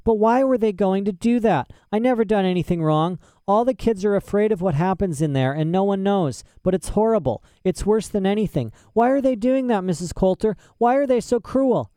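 A man's voice: on the high side, fairly quick, few pauses, warm tone, clean tone, dry recording, clean studio sound, pitched above the performer's own voice, lightly acted. A man voicing a frightened, tearful young girl who pleads and spins a careful story. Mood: pleading.

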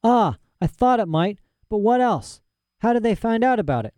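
A man reading a story aloud and voicing a defiant young girl on the edge of tears, with rough, ungrammatical speech. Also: sits high, sing-song, normal speed, dark tone, no room sound, dull audio, pitched above the performer's own voice. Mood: earnest demanding. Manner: rough working-class speech, indignant.

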